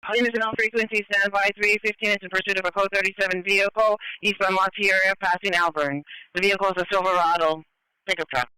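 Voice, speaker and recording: A man's voice: high voice, little pitch movement, quick pace, sharp tone, clear, resonant tone, no echo, rough recording. A man working as a police radio dispatcher, relaying pursuit updates in a clipped, procedural way over a scanner. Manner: clipped, procedural, repeating reports. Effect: police radio transmission.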